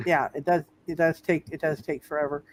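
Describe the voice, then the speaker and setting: A man hosting as game master in casual talk, halting and often repeating himself as he circles back. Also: fairly high pitch, fairly even intonation, a little hiss or hum. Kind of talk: casual sign-off talk on live roleplay show.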